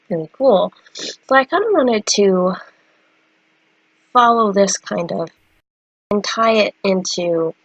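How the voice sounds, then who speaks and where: A woman's voice: on the low side, vocal fry, slightly rough, no echo, low-quality recording. A young woman with a stuffy, cold-congested voice, chatting casually about her drawings with many fillers. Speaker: artist vlogger. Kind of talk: casual chat.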